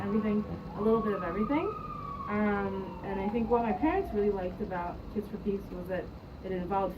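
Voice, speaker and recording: Young woman from Jerusalem, hesitant. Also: mid-range voice. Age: young adult. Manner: hesitant, many ums and restarts. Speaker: interviewee, youth program staff member. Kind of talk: casual spoken recollection. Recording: distant mic, muffled, noisy.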